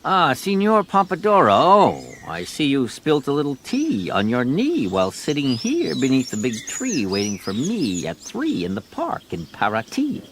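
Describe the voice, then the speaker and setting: A man playing a bumbling, persistent detective in a comic radio drama, haltingly repeating words as he puzzles them out. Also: medium pitch, wildly swooping pitch, a little hiss or hum. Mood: bemused. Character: bumbling, persistent American detective struggling with Portuguese.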